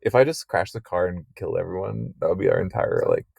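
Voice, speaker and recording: A young man co-hosting a podcast, crude and swearing, joking in a deadpan way. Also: low voice, fast, vocal fry, no echo. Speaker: podcast co-host. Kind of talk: casual chat.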